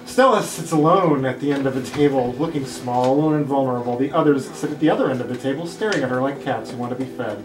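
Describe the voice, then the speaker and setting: A man's voice: mid-range voice, fast talker, few pauses. Man reading stage directions, echoey room. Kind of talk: scripted narration at a table read. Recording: echoey room, distant mic, people around.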